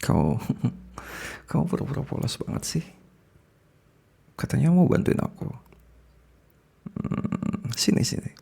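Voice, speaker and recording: A man playing a possessive, smitten male boss in an intimate ASMR roleplay, speaking haltingly with an Indonesian accent in a breathy, gravelly voice that slips into whispers. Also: medium pitch, very animated, a little mellow, full character voice. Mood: coaxing, seductive. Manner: halting, sighing, repeats words, slips into whispers.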